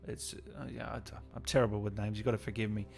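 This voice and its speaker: A man hosting a casual livestream, reading out viewer messages and thanking people with effusive, drawn-out, hyped shout-outs. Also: on the low side, flat delivery, creaky. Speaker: livestream host and channel owner. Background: music playing behind the voice.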